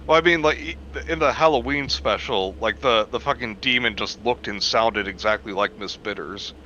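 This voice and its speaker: A man's voice: lowish voice, normal speed, bright, forceful tone. A man chatting casually as a co-host on a muffled, slightly noisy mic, with wry, profane banter. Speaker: co-host commenting on a story. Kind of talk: casual joking chat.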